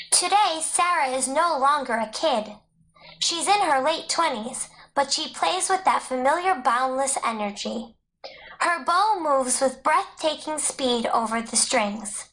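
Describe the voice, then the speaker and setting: A woman's voice: high-pitched, a bit bright, poor audio. A woman reading a scripted educational text aloud as a narrator. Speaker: audiobook narrator.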